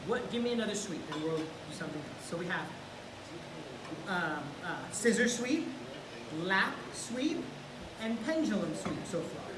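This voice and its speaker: A woman's voice: mid-range voice, animated, moderate pace. Woman coaching on a gym mat. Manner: encouraging, coaching step by step while grappling. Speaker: jiu-jitsu instructor. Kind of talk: hands-on technique teaching. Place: large indoor gym with mats. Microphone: camera far across the room. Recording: echoey gym from far off, noisy.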